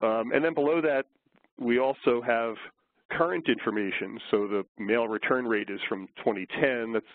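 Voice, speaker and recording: A man getting over a flu, slightly hoarse, giving a measured, explanatory webinar presentation with frequent fillers. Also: mid-range voice, no room sound. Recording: tinny phone line.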